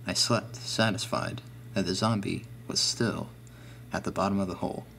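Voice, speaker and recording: A man narrates first-person horror in a low, creaky voice. His delivery is deliberate and ominous, with a faint hum underneath. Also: fairly even intonation, a bit bright.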